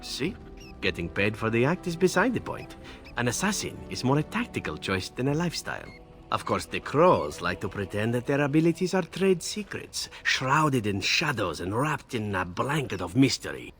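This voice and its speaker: An actor playing a suave, flippant assassin in a video game, talking in a smooth, wry way. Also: male voice, medium pitch, very animated, moderate pace, fairly bright tone. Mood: matter-of-fact. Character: suave roguish male assassin, flippant and sly. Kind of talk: video game character dialogue. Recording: music behind the voice.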